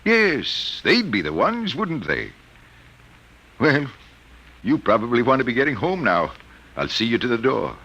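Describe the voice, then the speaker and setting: A man's voice: medium pitch, wildly swooping pitch, unhurried, long pauses, pushed and bright, husky, full character voice. An American actor playing a mild, middle-aged college professor troubled by his own power, speaking formally and hesitantly in 1950s radio drama style. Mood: troubled, brooding. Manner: formal, precise, hesitant wording.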